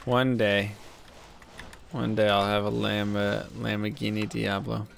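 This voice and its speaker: A man with a deep voice, chatting casually and in a laid-back, rambling way as he streams a game from a desk microphone. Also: flat delivery, measured pace, gravelly, slight background noise. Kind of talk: casual chat while gaming.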